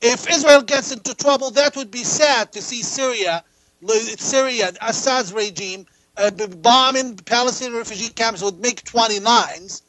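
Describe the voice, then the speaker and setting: A man from Jordan, answering as an interview guest in halting phrases with repeated words. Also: very high voice, a bit bright, no echo, rough recording. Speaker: interview guest, political activist and writer.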